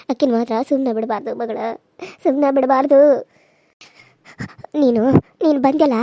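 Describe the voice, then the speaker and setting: A woman's voice: sits high, dark tone, dry recording, pitched below the performer's own voice. A woman voicing the ghost of a dead older mother who tenderly laments and urges revenge.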